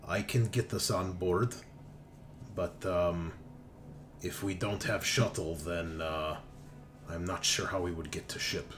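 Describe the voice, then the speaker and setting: A man's voice: low-pitched, fairly even intonation, slow, pauses often, music in the background, pitched below the performer's own voice. A man voicing a cautious foreign female sub-lieutenant in a tabletop game, hesitant, with clipped grammar. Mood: cautious, unsure. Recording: clearly noisy tabletop session recording.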